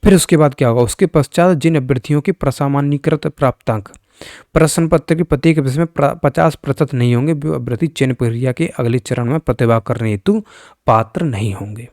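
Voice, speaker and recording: Guy explaining exam news, blunt and emphatic, gravelly mellow voice. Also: medium pitch, brisk, dry recording, clean studio sound. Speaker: exam coaching YouTuber.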